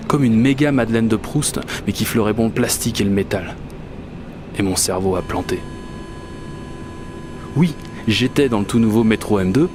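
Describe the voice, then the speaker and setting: A man's voice: on the low side, background noise, natural voice. A man playing an obsessive, whimsical dreamer in a first-person radio fiction monologue, speaking in a confiding, colloquial, self-mocking way. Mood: wry, reminiscing. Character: obsessive, whimsical Parisian man confiding a magical commuting quest. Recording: urban and train ambience behind voice.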